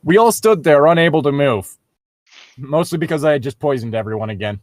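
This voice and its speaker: A man's voice: on the high side, expressive, a little fast, no echo. A man co-hosting a comic read-aloud, mocking the story with sweary casual asides. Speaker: co-host reading stories aloud.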